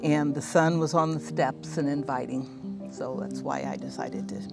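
A woman's voice: low voice, steady tone, normal speed. An older woman, a painter, talking casually outdoors about her work. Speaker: painter being interviewed. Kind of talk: casual explanation. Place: outdoors on a lawn. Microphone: camera a few feet away. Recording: background music over outdoor voice.

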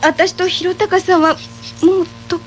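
Very high-voiced, devoted young daughter. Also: female voice, flat delivery, unhurried, a little hiss or hum. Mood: protesting. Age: young adult. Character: sweet, devoted, headstrong young daughter in love. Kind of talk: comic radio drama acting.

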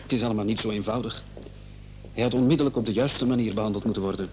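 A man's voice: fairly low pitch, fast, a little mellow, natural voice. A man in a radio drama playing a hospital doctor who is placating and condescending. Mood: grave. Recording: old radio play, faint noise and hum.

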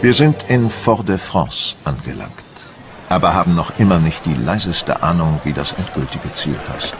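Deep voiced brooding french admiral, formal and measured, audio drama w music behind. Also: male voice. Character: wary French admiral of the Napoleonic wars, brooding, proud. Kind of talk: first-person dramatised documentary narration.

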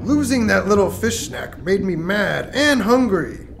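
A woman's voice: mid-range voice, very animated, a little slow, vocal fry, music in the background. A woman voicing a hungry, scheming male shark villain in a children's puppet show, with a menacing, theatrical delivery. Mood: grumpy, scheming.

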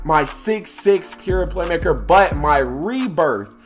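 A young man talks straight to his viewers, hyped and full of slang, his voice set a little high. His nose is stuffed up. Game music plays faintly behind him. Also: animated, takes it slowly. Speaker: gaming YouTuber. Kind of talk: gameplay commentary.

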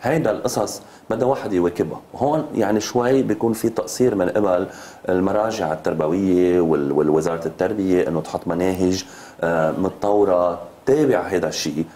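A Lebanese man in his fifties speaks as an interview guest in a deep, soft-edged voice. He talks very quickly and insistently, often repeating phrases. There is some noise behind him. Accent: Lebanese Arabic. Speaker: road safety advocate, TV guest. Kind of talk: studio interview. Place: TV studio. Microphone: lapel mic.

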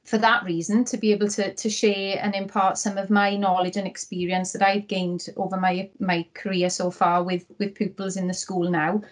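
Sincere Welsh woman, flat steady voice. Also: mid-range voice. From Wales. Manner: sincere, many um fillers. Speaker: charity marketing head, panel guest. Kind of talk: panel discussion on a video call. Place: indoor room. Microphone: video call.

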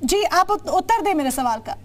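A woman's voice: very high voice, expressive, moderate pace. A woman anchoring a live TV news debate, pressing her guests with insistent questions. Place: TV news studio.

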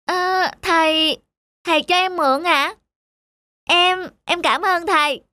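A woman's voice: extremely high pitch, fairly even intonation, slow pace, bright, forceful tone, no echo, heavily acted. A woman voicing a polite, earnest, bookish little boy in a children's cartoon dub, speaking respectfully. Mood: polite, eager.

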